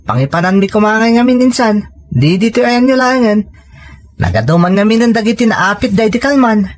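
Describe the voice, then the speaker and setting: A woman's voice: medium pitch, lively intonation, fairly quick, lightly acted. A woman acting as a supportive young Filipino cousin in a village audio drama. She has an ordinary middle-range voice and talks in a casual, friendly, giving way.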